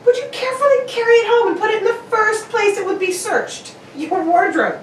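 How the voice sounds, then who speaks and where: A brisk and confident gentleman detective, acted by a woman, pitched high and very animated. The reasoning comes out precise and probing, with theatrical emphasis. It sounds like a radio mystery recorded in a roomy space. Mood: emphatic reasoning. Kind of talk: old-time radio mystery acting. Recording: roomy, distant, noisy home recording.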